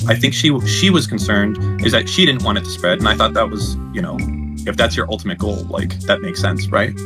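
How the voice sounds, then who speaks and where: A man with a fairly low voice speaks off the cuff in a casual stream chat. His talk rambles and turns defensive, full of fillers. Guitar music plays clearly behind him. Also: fairly quick. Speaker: livestream guest. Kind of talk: casual livestream conversation.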